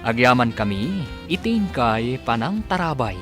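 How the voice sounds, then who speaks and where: A man's voice: medium pitch, big pitch swings, measured pace. Filipino male radio announcer over music. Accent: Filipino, Ilocano. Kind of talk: scripted dramatic radio narration.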